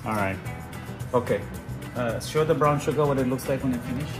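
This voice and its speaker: A man's voice: mid-range voice, a little fast. Man vlogging over music. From Trinidad. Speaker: vlog host. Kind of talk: casual chat to camera, explaining a recipe. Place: home kitchen.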